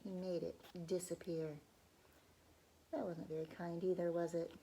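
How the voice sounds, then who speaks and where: Lady reading a kids' story real slow, lowish voice, whispers bits and asks the kids stuff, a little hiss behind her. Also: little pitch movement, dark tone. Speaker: teacher reading aloud. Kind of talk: children's read-aloud storytelling.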